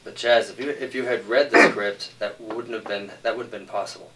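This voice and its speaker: A man's voice: fairly low pitch, fairly even intonation, brisk. A man in an argumentative group discussion as a screenwriter, insistent and repeating himself, recorded from a distance. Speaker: screenwriter defending his script. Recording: distant mic with some noise.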